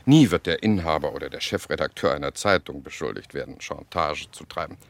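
A worldly, scheming journalist in a radio play. His voice is deep and gravelly, and his delivery is theatrical, jovial and sardonic. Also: brisk, no room sound. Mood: confiding, wry. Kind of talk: radio drama acting.

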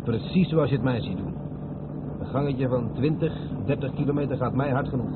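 A man's voice: mid-range voice, a little fast, natural voice. An actor playing a seasoned truck driver, wry and firm as he cautions his mate in a radio play. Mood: wry, cautionary. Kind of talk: old radio play dialogue. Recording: tinny radio recording with loud vehicle noise.

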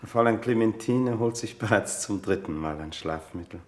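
A man's voice: lowish voice, moderate pace, close to an everyday voice. An actor playing a formal, deferential male household servant in a German radio play, with stiff, courteous, old-fashioned phrasing.

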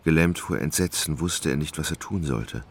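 Very deep, creaky male narrator. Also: a little hiss or hum. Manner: measured, dramatic. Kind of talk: scripted literary narration of a radio drama.

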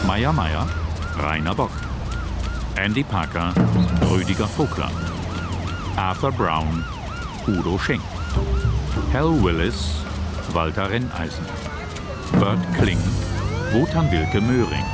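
A man reading out radio drama credits as announcer, in a measured, formal way. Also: fairly low pitch.

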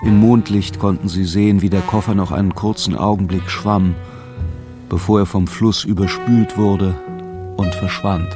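A man narrating a radio drama as a sombre, measured storyteller. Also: sits low, fairly even intonation, over music.

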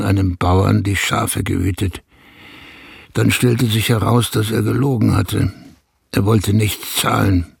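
A very husky, heavily creaking old herdsman recalls his life in a radio play. He is embittered but restrained, telling it reflectively and close in a dry space. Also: on the low side, a little mellow, dry recording, full character voice. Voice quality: husky, gravelly. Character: Tanabai, embittered old Kyrgyz herdsman looking back on youth. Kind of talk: radio drama monologue.

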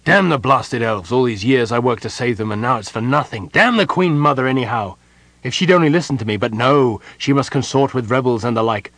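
A man voicing a stern, embittered marshal in an audiobook, commanding and grimly forceful. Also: fairly low pitch, fairly quick, slightly rough, no echo. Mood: grim, angry. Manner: barking orders, grimly forceful.

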